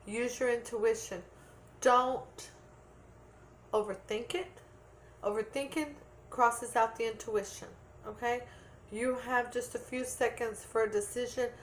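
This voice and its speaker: A woman's voice: on the high side, slight background noise, rough recording. A woman giving a tarot reading to viewers in an earnest, repetitive way, checking in with "okay?" and losing her voice a little. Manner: earnest, repeats points with "okay?". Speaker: tarot reader.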